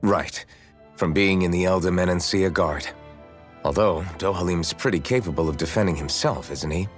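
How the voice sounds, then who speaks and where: Man acting as low-voiced, steady young swordsman. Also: moderate pace, lightly acted. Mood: supportive. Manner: plain-spoken, reassuring. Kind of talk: video game voice acting. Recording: music in background. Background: background music.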